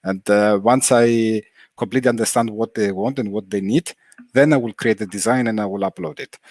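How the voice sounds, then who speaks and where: Low-voiced Greek-native man, careful and unhurried. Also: a little mellow, no echo, studio quality. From Germany. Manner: careful, unhurried, many "uh" pauses. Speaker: guest. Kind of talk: casual video-call interview.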